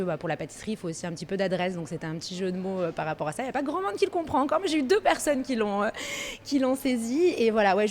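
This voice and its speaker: A woman in her thirties from Marseille, a pastry workshop manager, talking easily and a little hesitantly in a casual interview. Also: medium pitch. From Marseille, France. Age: 30s. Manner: easygoing, hesitant, thinks aloud.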